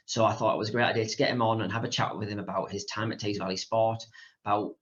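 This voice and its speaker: A man hosting a podcast and interviewing a guest, brisk and often repeating words. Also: on the low side, fairly even intonation, a bit bright, dull audio. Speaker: podcast host. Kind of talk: casual interview chat.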